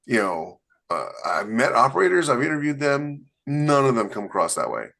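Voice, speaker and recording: A man's voice: mid-range voice, big pitch swings, normal speed, vocal fry, fairly bright tone. A man co-hosting a podcast in casual panel chat, talking in a rambling, emphatic way full of "you know" fillers. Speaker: podcast co-host and author.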